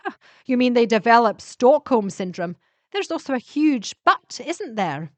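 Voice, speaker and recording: Woman playing female vampire hunter, feisty, indignant, challenging, highish voice, sci-fi story, dry sound. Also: very animated, a little slow, dry recording.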